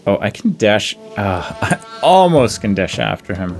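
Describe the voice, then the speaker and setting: A man's voice: lowish voice, sing-song, normal speed, vocal fry, faint music behind. A man giving casual game commentary on his own playthrough, thinking aloud and easily amused.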